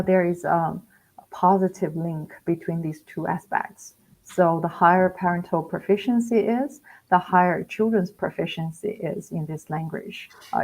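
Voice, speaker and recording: A woman researcher explaining her work as a guest on a video call. Her voice sits on the low side with a gravelly fry, and she talks haltingly, full of fillers and self-corrections. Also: darkish tone, rough recording. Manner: hesitant, many fillers and restarts. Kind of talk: live online interview, explaining research.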